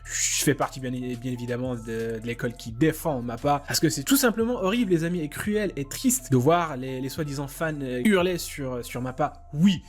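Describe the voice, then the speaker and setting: A young man hosting an anime reaction video, rambling casually with repeated fillers, casual swearing and excited exclamations. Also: medium pitch, normal speed, few pauses, faint music behind. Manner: excitable, laughs and exclaims while watching. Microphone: desk microphone.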